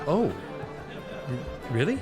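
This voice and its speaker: Hesitant, polite young knight. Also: male voice, mid-range voice, sing-song, over music, crowd noise. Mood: awkward, modest. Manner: halting, polite, modest. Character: hesitant, deferential young knight, guarded and awkward. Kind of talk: audio drama acting.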